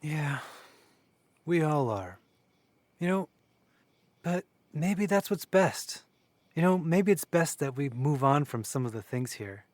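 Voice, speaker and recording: A man's voice: fairly high pitch, animated, slow, long pauses, a little mellow, no echo. A young man acting as a blunt, teasing friend, with casual audio drama delivery. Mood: reflective. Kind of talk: naturalistic audio drama dialogue.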